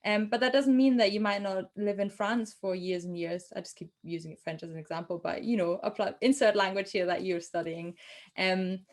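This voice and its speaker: A woman's voice: medium pitch, steady tone, normal speed. A young adult woman from Germany, a native German speaker with a German accent, answering interview questions about translation in a chatty way with many ums and restarts. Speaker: translator guest.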